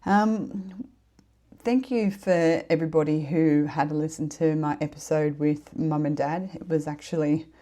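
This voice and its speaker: A woman's voice: low-pitched, steady tone, measured pace. A middle-aged woman with a head cold, hosting her own podcast in a casual, earnest, rambling way. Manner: warm, earnest, rambling with fillers. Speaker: podcast host. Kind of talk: casual solo podcast chat.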